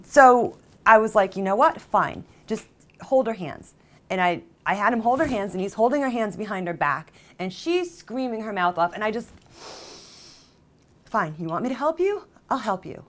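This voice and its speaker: A woman playing a jilted, obsessive character who confesses in a halting, conversational dramatic monologue, with volatile emotion. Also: medium pitch, expressive, a bit bright, natural voice. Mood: indignant, agitated. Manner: halting, emotionally volatile, mimics others' exclamations. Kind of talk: dramatic monologue, conversational first-person storytelling.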